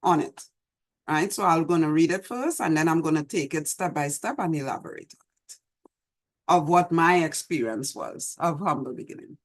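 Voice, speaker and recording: A middle-aged woman with a Trinidadian accent, hosting an online show and gently reminiscing as she reads her poem, recorded over a video call from a small room at home. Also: sits low, normal speed. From Trinidad and Tobago. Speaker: host. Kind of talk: reflective talk and poetry reading. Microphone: video call webcam.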